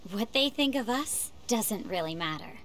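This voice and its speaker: A woman playing a confident, friendly, encouraging teenage girl in an animated game cutscene. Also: on the high side, moderate pace. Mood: friendly, assured. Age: teens.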